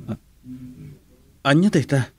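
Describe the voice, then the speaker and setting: A man's voice: a little high, expressive, takes it slowly, slightly rough, full character voice. A man acting a mild-mannered, courteous friend in an Ilocano radio drama. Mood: hesitant.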